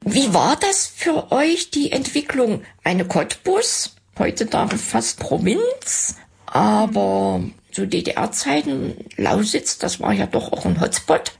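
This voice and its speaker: Husky, creaky-voiced woman interviewer. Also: fairly high pitch, takes it slowly. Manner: lively, curious questioning. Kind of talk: radio interview.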